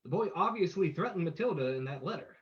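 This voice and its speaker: A woman playing a composed, courteous gentleman sleuth in an old-time radio drama reading. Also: on the low side, animated, fast, natural voice. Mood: composed, probing. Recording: muffled, lo-fi sound.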